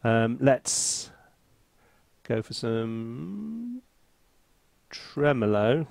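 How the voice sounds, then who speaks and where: A man's voice: medium pitch, big pitch swings, very slow, gravelly, a little mellow, dry recording. A man giving a casual think-aloud music tutorial in a playful, self-deprecating, chatty way. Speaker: composer presenting a music software tutorial and review. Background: orchestral sample music.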